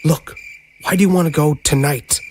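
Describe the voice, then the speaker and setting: A woman as a stern, low-voiced young sentry. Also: normal speed, a little mellow, a little hiss or hum, lightly acted. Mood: reluctant, curt. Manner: scolding, curt. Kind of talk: audio drama acting.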